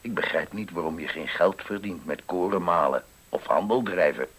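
Very deep, dying old miller. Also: male voice, fairly bright tone, slightly rough. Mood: solemn, reproachful. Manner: solemn, slow, halting. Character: stern dying old miller, father of three sons. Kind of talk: 1970s Dutch radio play acting.

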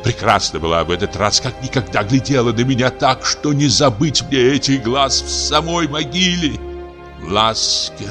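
A man's voice: medium pitch, takes it slowly, pitched above the performer's own voice, close to an everyday voice. A man voicing a drunken, lonely comic actor of fifty-eight, frightened and maudlin, lamenting in a feverish, breathless, theatrical way. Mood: rapturous, wistful. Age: 50s. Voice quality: breathless, trembling. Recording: music under the voice. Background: music.

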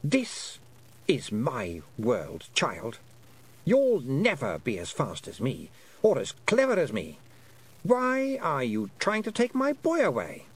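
A man's voice: mid-range voice, wildly swooping pitch, takes it slowly, husky, voice pushed up, exaggerated voice. A man voicing a cold, haughty fairy Queen in a storybook reading, condescending and mock-polite, drawing out the words. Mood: taunting. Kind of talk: audiobook character voice.

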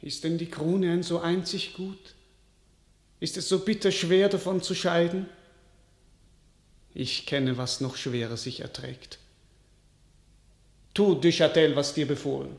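Weary king for a radio play, slow and solemn, roomy studio sound. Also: a little high, steady tone, gravelly. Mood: resigned. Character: weary, despairing young king. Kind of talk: classic radio play acting.

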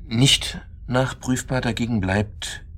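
A man acting in a radio drama as a dogged, bitter accuser, probing and sardonic, with halting repetitions. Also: medium pitch, a bit bright. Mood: insistent. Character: dogged, bitter male accuser reconstructing a crime.

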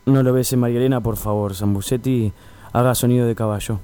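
A man's voice: mid-range voice, steady tone, fast, mellow, smooth, no room sound, natural voice. A man with an Argentine accent playing a self-important, bossy film director in a comic radio sketch. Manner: curt commands, pompous pronouncements.

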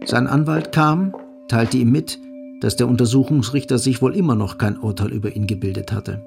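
Gravelly male narrator over music. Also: mid-range voice, normal speed. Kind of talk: scripted audio drama narration in German.

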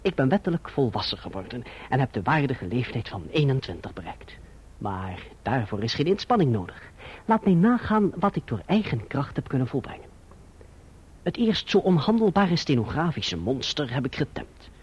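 Young gentleman in love, earnest and polite, animated delivery, husky tone, radio play. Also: mid-range voice, moderate pace. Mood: reflective, lyrical. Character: eager, courteous young gentleman in love, reflective narrator. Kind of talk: radio drama acting and literary narration. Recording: muffled, dull old radio recording.

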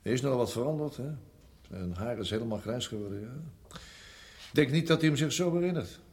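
An actor playing an awkward, kindly prison guard in a radio drama, stumbling hesitantly over delicate words. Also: male voice, mid-range voice, lively intonation, fairly quick, a little mellow, close to an everyday voice. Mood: matter-of-fact, uneasy. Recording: noisy old radio play recording.

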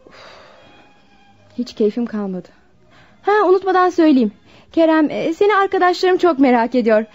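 A rich, modern young woman in a radio play, coquettish and coaxing. Her high voice swings with very lively intonation, on a dull recording. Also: normal speed, soft background music. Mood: pouting, coaxing. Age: young adult. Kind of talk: Turkish radio drama acting.

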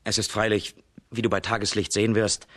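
An actor playing a strong young hero in a children's radio fairy tale. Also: male voice, on the low side, little pitch movement, brisk, a bit bright, no echo, studio quality. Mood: pleased, wry. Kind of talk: radio play acting for children.

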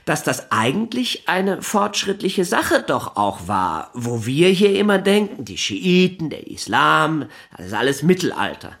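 Rambling, animated male newspaper editor. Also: medium pitch. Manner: rambling, halting, self-interrupting. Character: flustered, talkative male newspaper science editor, condescending yet well-meaning. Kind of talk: naturalistic German radio drama dialogue.

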